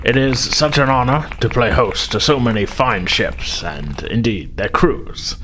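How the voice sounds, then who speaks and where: A man voicing a gracious, formal admiral making a measured ceremonial speech in a tabletop game. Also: mid-range voice, very animated, a bit bright, a little hiss or hum, low-quality recording.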